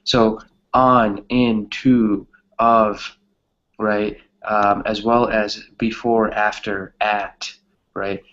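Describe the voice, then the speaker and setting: A man's voice: on the low side, flat delivery, very slow, fairly bright tone, lo-fi sound. A man teaching a conversational English grammar class, often checking in with a questioning tag as he explains.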